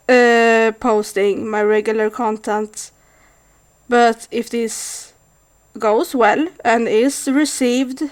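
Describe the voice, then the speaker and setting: A woman's voice: on the high side, clear, resonant tone. A woman in her thirties from Sweden with a Swedish accent, speaking thoughtfully to camera with frequent hesitations. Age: 30s. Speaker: vlogger. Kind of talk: casual monologue to camera.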